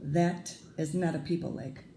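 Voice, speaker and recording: Woman reading as a little storybook girl, unimpressed, emphatic, deliberate, roomy sound, background noise. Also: sits low, steady tone, warm tone. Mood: flat disapproval. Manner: slow, emphatic, deliberate declarations. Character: little girl, firm, unimpressed, jealous big sister.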